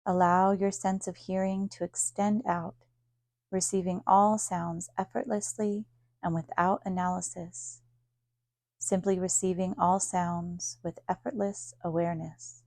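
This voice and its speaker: Low, creaky-voiced woman guiding meditation. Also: no echo. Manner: calm, soothing, unhurried, with long pauses. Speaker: yoga nidra teacher. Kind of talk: guided meditation.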